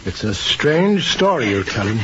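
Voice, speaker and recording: A man's voice: mid-range voice, very animated, unhurried. A man playing a plain-spoken detective sidekick in old-time radio drama style. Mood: sympathetic. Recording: dull, noisy old radio recording.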